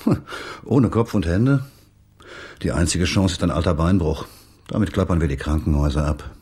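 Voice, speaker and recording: Male police detective, crime radio play: weary cynic, gruff, rough low bass. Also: moderate pace, darkish tone. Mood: sardonic, matter-of-fact. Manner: gruff, sardonic. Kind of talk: naturalistic radio play acting.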